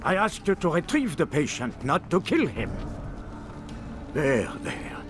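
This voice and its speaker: An actor playing a stern, paternal healer in a video game, commanding yet controlled. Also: male voice, a little high, very animated, a little slow. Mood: soothing, firm. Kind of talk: video game cutscene acting. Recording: game music behind the voice.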